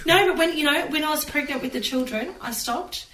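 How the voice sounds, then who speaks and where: A woman's voice: high voice, a little fast, a crowd in the background. A woman co-hosting a podcast, chatty and casual as she shares personal anecdotes. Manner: chatty, giggly. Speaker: podcast co-host. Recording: echoey room with faint noise.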